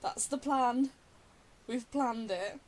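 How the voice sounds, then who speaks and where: Female gamer, high voice, self-directed casual commentary, playful, unhurried delivery. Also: a little mellow. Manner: talks to herself, playful. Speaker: game streamer. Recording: faint background hiss.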